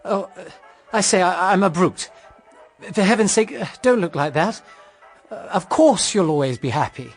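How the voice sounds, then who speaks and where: A man's voice: high-pitched, animated, moderate pace, soft background music. An actor playing an earnest, self-deprecating, lovestruck man in a period radio drama, speaking a little hesitantly. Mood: awkward, regretful.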